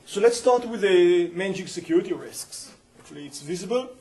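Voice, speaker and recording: A middle-aged man with an Israeli accent, lecturing to a university audience. His voice sits a touch high for a man but sounds soft and mellow rather than bright. He explains things earnestly, with frequent hesitations and restarts as he finds his words. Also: expressive, a little fast. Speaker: company founder giving a guest lecture. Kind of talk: university colloquium talk.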